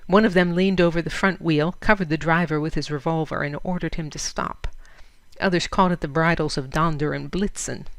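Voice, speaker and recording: A woman's voice: sits low, fairly even intonation, fairly quick, no echo. A woman narrating a literary short story expressively, as in an audiobook.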